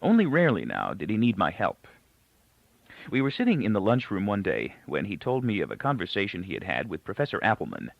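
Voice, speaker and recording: A dull, dry, close recording of a man narrating a novel. His voice sits low and has a gravelly creak, and his intonation swings very widely as he tells the story. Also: huge pitch swings, a little fast, no room sound. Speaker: audiobook reader. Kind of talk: scripted literary narration with character dialogue.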